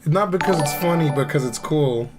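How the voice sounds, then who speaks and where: A man's voice: a little high, lively intonation, normal speed, music in the background. A man bantering casually as a livestream co-host. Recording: clearly noisy mic with clicks.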